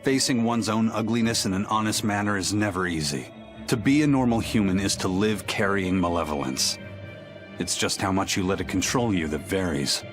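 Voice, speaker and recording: A man playing a measured, philosophical, worldly-wise warrior in a video game. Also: fairly low pitch, over music, close to an everyday voice. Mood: reflective. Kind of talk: English-dubbed video game acting.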